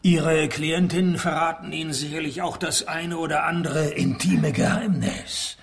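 An actor playing a dry, laconic private detective, narrating and questioning tersely in a comic radio play. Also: male voice, on the high side, moderate pace, creaky, slight background noise. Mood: probing. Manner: deadpan, terse.

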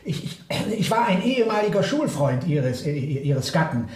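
A man playing a flustered, brooding character in a German radio play, halting and awkwardly polite in his speech. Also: on the high side, sing-song, darkish tone, roomy sound.

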